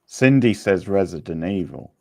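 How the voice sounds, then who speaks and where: A man's voice: low-pitched, lively intonation, normal speed, vocal fry, darkish tone. A man casually hosting a live quiz, chatting with the players. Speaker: quiz host.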